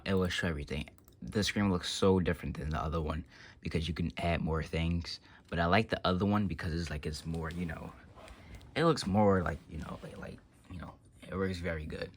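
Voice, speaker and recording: A man's voice: low-pitched, moderate pace, fairly bright tone, slightly rough. A man giving an unscripted, casual walkthrough of a technical setup, rambling and often trailing off with filler phrases. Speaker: tech vlogger demonstrating an office phone system.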